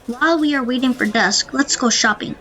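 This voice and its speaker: A woman playing a clever monk on a secret mission, giving deliberate instructions in an amateur audio play. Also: sits high, sharp tone. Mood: plotting.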